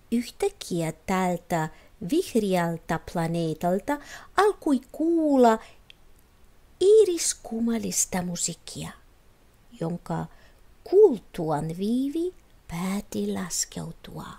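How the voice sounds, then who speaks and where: A woman reading a children's fairy tale as an expressive storyteller. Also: medium pitch. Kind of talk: expressive scripted storybook narration.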